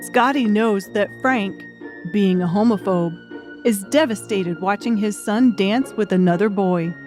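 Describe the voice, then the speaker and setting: A woman narrates a dramatic audiobook from a script. Her pitch is in the middle range for a woman. Soft music plays behind her. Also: a little slow.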